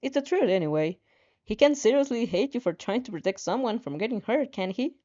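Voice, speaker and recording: A woman's voice: on the high side, animated, a little fast, mellow. Woman reading as frustrated, defiant teenage heroine. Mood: defensive. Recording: dull lo-fi sound.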